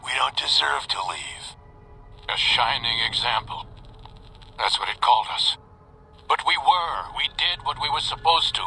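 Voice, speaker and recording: A man's voice: medium pitch, lively intonation, unhurried, vocal fry, long pauses, pushed and bright, soft background music, exaggerated voice, low-quality recording. An actor playing a weary, defiant male survivor in a video game audio log, grim and strained, on a radio-like recording. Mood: bitter guilt.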